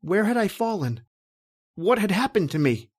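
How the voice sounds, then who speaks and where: A man's voice: sits high, sing-song, moderate pace, husky, pitched above the performer's own voice, heavily acted. A man playing a tormented, lost husband recounting his doom in a brooding, dramatic old-time radio horror style. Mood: frightened, bewildered.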